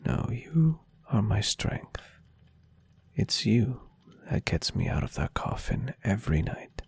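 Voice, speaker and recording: A man voicing a tender, adoring and playfully teasing centuries-old vampire boyfriend in an intimate ASMR roleplay, speaking in a soft, husky half-whisper. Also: fairly low pitch, big pitch swings, slow pace, heavily acted. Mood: devoted. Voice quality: breathy, husky half-whisper with gravelly creak. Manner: soft, soothing, affectionate murmuring.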